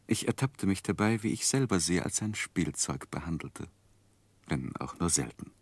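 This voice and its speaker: An actor playing an earnest, tender gentleman who narrates his life in a gentle, reflective, expressive way in a 1960s German radio play. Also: deep voice, vocal fry, no room sound, full character voice. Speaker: first-person narrator and lead.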